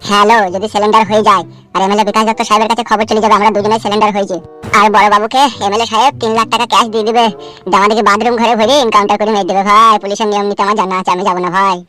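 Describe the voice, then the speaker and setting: Man acting as nervy cartoon schemer, male, rapid speech, slangy, coarse, faint music behind. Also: high-pitched, flat delivery, pushed and bright. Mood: anxious, bickering. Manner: coarse slangy back-and-forth. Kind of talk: comedy cartoon dialogue.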